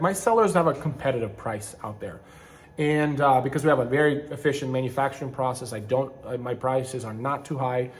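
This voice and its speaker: A man from Ukraine talks straight to camera, loose and conversational. His voice sits a little higher than most men's. He rambles and often stops to fix his words mid-sentence. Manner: rambling, self-correcting, conversational with frequent restarts. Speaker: channel host, online seller and manufacturer. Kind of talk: casual talking-to-camera vlog.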